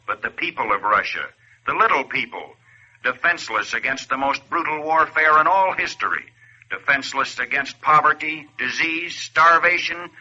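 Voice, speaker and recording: A man's voice: medium pitch, pushed and bright. A man playing a grave wartime announcer, delivering solemn, declamatory 1940s radio narration. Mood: grave outrage. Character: grave, forceful male wartime appeal announcer. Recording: muffled vintage radio recording.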